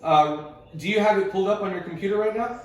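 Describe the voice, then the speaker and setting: A man's voice: sits high, quick pace, a little mellow, very echoey, low-quality recording. A man coaching a group on a conference call, explaining things informally and conversationally with casual slang. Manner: casual and conversational, uses slang like bro and bud.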